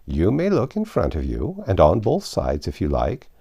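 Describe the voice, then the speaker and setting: A man's voice: low voice, huge pitch swings, moderate pace, dark tone, husky, heavily acted. A man doing a curt old sheep shopkeeper. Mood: matter-of-fact.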